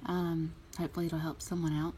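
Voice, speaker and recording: A woman in a relaxed, chatty way explaining a nail art tutorial, with plenty of ums. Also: low-pitched, a little fast, slight background noise. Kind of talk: casual tutorial talk.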